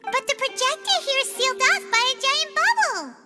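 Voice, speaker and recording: A woman voicing a small, excitable, childlike fairy companion in a video game, bubbly and exclamatory. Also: very high voice, moderate pace. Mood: eager. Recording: loud music behind the voice.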